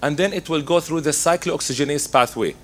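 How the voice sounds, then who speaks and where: A man with laryngitis, hoarse, giving a methodical medical lecture as a pharmacist, working through his slides. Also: a little high, brisk, a bit bright.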